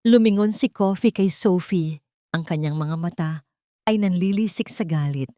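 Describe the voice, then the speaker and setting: A woman narrates a scripted love drama. Her voice is low-pitched and the recording is very dry and close, with a dramatic storytelling delivery. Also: moderate pace, dark tone, no echo.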